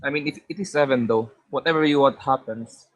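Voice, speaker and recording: A man's voice: mid-range voice, brisk, dry recording, poor audio. A man from Indonesia, a guest in a livestream debate, answering casually and calling people bro. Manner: casual, says bro a lot.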